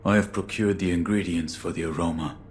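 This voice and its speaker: A man playing a terse, loyal shinobi in a video game, solemn and restrained, with a gravelly voice. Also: sits low, fairly even intonation, a little fast, noisy background, lightly acted. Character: stoic loyal adult male shinobi serving a young lord. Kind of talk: video game cutscene acting.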